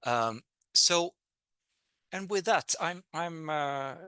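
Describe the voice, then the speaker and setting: A man's voice: fairly high pitch, expressive, extremely slow, sharp tone, smooth, no room sound, clean studio sound. A middle-aged man with a Swedish accent giving an online public talk from home, hesitant, with many fillers and restarts. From Sweden. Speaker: guest lecturer. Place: home in a town, by video link. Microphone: computer mic on a video call.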